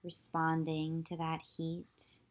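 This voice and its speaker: A woman yoga teacher leading a guided visualization meditation, giving slow, soothing instructions with long pauses. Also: deep voice, completely flat delivery.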